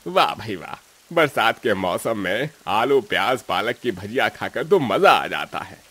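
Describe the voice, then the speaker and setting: A man's voice: lowish voice, very animated, unhurried, sharp tone, exaggerated voice. A man playing a smug, stingy, pot-bellied grocer in a cartoon, gloating and dismissive. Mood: smug relish.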